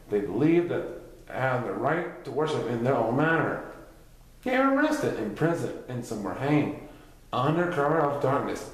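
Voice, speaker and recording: A man reading a written history story aloud as a narrator, occasionally stumbling over a word. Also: medium pitch. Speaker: reader. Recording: very echoey, reverberant room.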